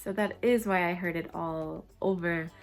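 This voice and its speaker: A woman's voice: lowish voice, steady tone, moderate pace, gravelly, faint music behind. A young American woman chatting casually to camera, repeating foreign words to practise them and laughing at her own tries. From United States. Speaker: vlogger.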